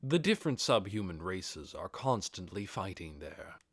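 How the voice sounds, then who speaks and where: A man voicing a commanding undead overlord in an audiobook, explaining things in a measured, deliberate way. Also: low-pitched, big pitch swings, a bit bright, no room sound, studio quality, exaggerated voice. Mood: serious, explaining.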